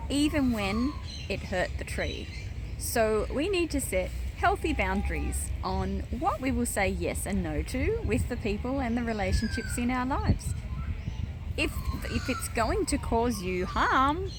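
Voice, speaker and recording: A woman's voice: fairly high pitch, background noise. A woman reading a children's picture book aloud as host, expressively, with short explanatory asides. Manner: expressive, storytelling. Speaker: storybook reader and host.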